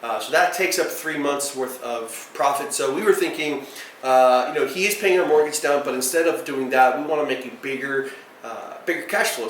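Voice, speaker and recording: A man's voice: on the high side, fairly bright tone. A man in his thirties talking casually to camera about his plans, rambling with many fillers and restarts. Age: 30s. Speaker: real estate vlogger. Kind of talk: casual direct-to-camera talk. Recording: echoey room, voice far from the mic, faint noise.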